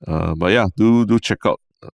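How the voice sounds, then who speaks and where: A young man with a Singaporean accent co-hosting a casual podcast, relaxed and tagging his sentences with "lah" and "right?". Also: fairly low pitch, moderate pace, gravelly, no room sound, clean studio sound. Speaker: podcast co-host.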